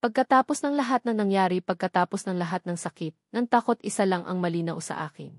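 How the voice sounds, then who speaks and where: A man's voice: sits high, quick pace, no room sound, studio quality. Woman voicing an earnest, devoted romantic husband. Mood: tender, devoted. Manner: tender, earnest.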